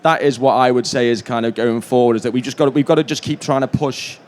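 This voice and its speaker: A man speaking as a panelist, conversational and halting, often repeating words as he goes. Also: medium pitch, steady tone, a little mellow. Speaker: panelist from a broadcaster. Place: conference event panel. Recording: live panel with background noise.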